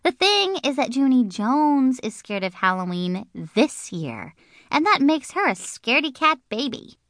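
A woman voicing a whiny tattletale little girl, squealing and pleading in a shrill voice. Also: big pitch swings, unhurried, a bit bright, no echo, clean studio sound, exaggerated voice. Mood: taunting.

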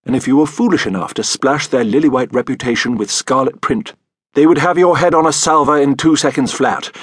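Man playing a husky, roguish British uncle. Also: mid-range voice, dry recording, lightly acted. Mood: droll warning. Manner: urbane, droll, florid phrasing. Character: worldly, roguish rich uncle writing a farewell letter. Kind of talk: audiobook character reading.

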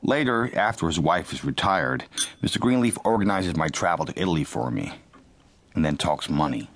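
Low-voiced, calculating young American man. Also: animated, moderate pace, dry recording. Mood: dry, confiding. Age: young adult. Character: calculating, detached young American man narrating his schemes. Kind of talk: radio drama first-person narration.

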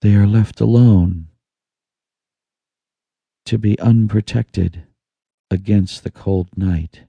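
A man narrating a guided meditation in a soothing, hushed manner with long pauses. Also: on the low side, fairly even intonation, gravelly, slightly rough, no echo. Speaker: audiobook narrator.

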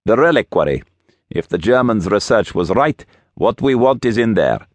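A man's voice: lowish voice, sing-song, normal speed, dry recording, pitched above the performer's own voice. A sadistic Russian villain performed by a male narrator for a thriller audiobook. He speaks with a Russian accent in a curt, commanding way, cold and humorless. The sound is dry and close.

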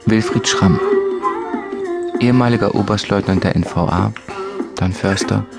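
The wry narrator of a village radio drama. His lowish, gravelly voice lists things in short deadpan phrases over loud music. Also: moderate pace. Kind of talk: scripted radio play narration.

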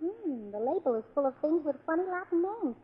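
An actress playing a cheerful, scatterbrained young wife with a bubbly, illogical manner in a 1940s radio comedy. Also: female voice, sits high, brisk. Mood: chirpy. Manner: bubbly, chirpy, deadpan about her own nonsense. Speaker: comic lead. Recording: slightly dull old radio recording.

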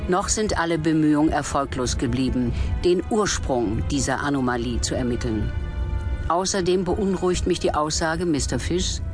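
A male actor as an earnest, duty-bound starship captain in a sci-fi radio play. He speaks formally and deliberately in a slightly high male voice, with clear music underneath. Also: natural voice. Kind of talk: German sci-fi audio drama, measured log narration and dialogue.

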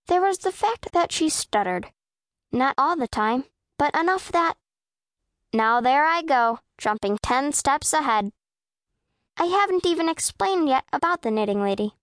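High-voiced, self-possessed young girl. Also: no room sound, studio quality. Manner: precise, composed, matter-of-fact. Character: self-possessed, proud, scowling eleven-year-old girl narrator. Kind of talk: first-person audiobook narration of children's fiction.

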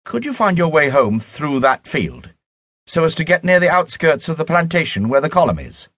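A man's voice: on the high side, big pitch swings, moderate pace, a bit bright, no echo, pitched above the performer's own voice. A man voicing a genteel lady in her late twenties, cool and commanding, in an audiobook. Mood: curious, commanding.

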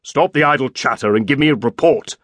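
A man voicing a gruff, wounded English lord, exasperated, sarcastic and commanding, in a historical audiobook. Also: mid-range voice, brisk, fairly bright tone, dry recording, natural voice. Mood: curt, commanding.

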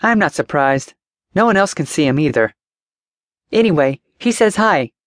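A man's voice: fairly high pitch, expressive, normal speed, dry recording, studio quality, pitched above the performer's own voice, close to an everyday voice. A man voicing an eerily cheerful little boy with an imaginary friend, earnest and polite. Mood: chirpy.

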